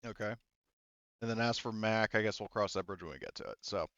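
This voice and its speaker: A man running a tabletop game as game master, explaining rules casually in a halting way and often repeating himself. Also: on the low side, a bit bright, no echo, muffled recording.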